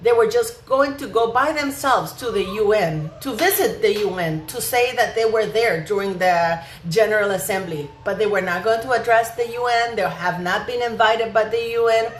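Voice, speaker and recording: Female vlog host, mid-pitched, indignant and emphatic commentary, echoey room, faint noise. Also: moderate pace, few pauses. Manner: emphatic, indignant, rhetorical questions. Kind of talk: opinionated royal gossip commentary.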